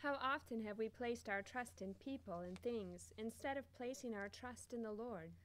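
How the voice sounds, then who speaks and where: A woman with a fairly high voice delivers a solemn scripted scripture reading in a church. The recording carries faint noise. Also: normal speed, a bit bright. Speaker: readers theater performer. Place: church stage before congregation. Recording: noisy church hall recording.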